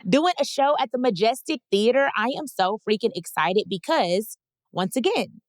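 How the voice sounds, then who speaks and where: A woman's voice: fairly high pitch, normal speed, dry recording, clean studio sound. Playful woman in her thirties hosting a podcast. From Dallas, Texas. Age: 30s. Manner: playful, animated, talks straight to her listeners. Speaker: podcast host and comedian. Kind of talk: casual solo podcast chat.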